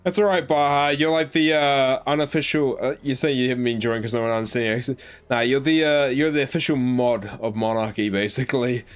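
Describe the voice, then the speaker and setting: A man's voice: medium pitch, brisk, clear, resonant tone, faint music behind. A man with an Australian accent chatting casually on a live game stream, rambling with lots of fillers as he answers his chat. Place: home in New Zealand.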